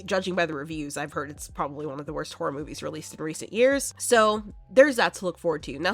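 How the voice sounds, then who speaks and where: A young woman hosting a casual horror movie vlog, chatty and quick to laugh, sounding a bit congested from allergies. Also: fairly low pitch, a bit bright, no echo. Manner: chatty, laughs often, many fillers.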